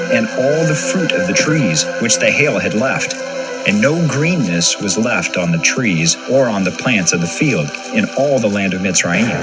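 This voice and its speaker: Lowish guy narrating a bible audio drama, scripted reading, music behind. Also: poor audio.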